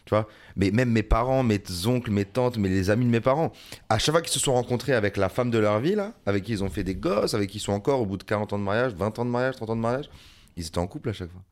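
A man's voice: fairly low pitch, lively intonation, fast, a little mellow. A man in a casual podcast chat, emphatic and joking as he lays out his views.

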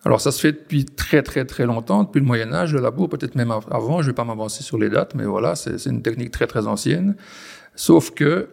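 Forties Belgian farmer from the Ardennes, gravelly voice, hesitant, restarts often. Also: male voice, mid-range voice, lively intonation, a little fast, a little mellow. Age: 40s. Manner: hesitant, many restarts and fillers. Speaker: farmer guest. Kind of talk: conversational interview. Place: on a farm.

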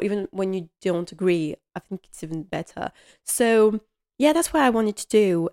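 A woman's voice: mid-range voice, a little mellow. Chatty woman speaking English as a second language. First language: not English. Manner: chatty and self-deprecating, with frequent fillers and restarts. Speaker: podcast host. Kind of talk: casual solo podcast monologue.

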